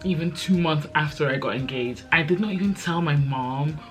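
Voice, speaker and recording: A woman's voice: sits low, normal speed, faint music behind. A woman in her twenties, raised in Belgium, chatting casually and rambling to camera with lots of fillers. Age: 20s. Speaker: YouTuber. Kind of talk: casual chit-chat vlog.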